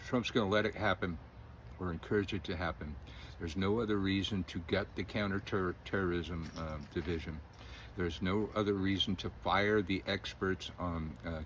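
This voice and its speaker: A man's voice: sits low, a bit bright, slight background noise. A middle-aged man giving rambling, opinionated political commentary, full of fillers and chuckles. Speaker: independent news commentator.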